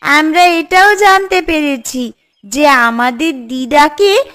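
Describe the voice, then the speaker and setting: A woman playing an earnest, resolute village sister in a cartoon, with a deliberate way of talking. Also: high voice, animated, a bit bright, full character voice. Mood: accusing. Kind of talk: cartoon voice acting.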